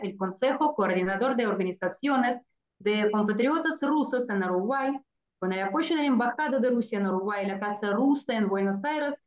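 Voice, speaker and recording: A woman's voice: on the high side, a little mellow. A middle-aged woman giving a formal welcome speech over a video call, reading each passage in two languages. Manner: reads each passage twice, first one language then the other. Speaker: cultural center representative. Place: indoor room, video call. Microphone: video call webcam. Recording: echoey, dull video call audio.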